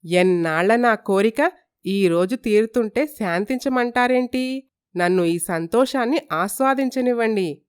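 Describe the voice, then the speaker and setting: A woman voicing an excited, doting middle-aged mother-in-law in a cartoon story, gushing and fussy. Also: on the high side, unhurried, clean tone, close to an everyday voice. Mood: delighted, fussing.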